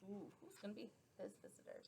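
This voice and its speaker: A woman's voice: mid-range voice, fast talker, vocal fry, warm tone, slight background noise. A woman teacher making conversational comments for young children during a read-aloud, prompting them in simple phrasing.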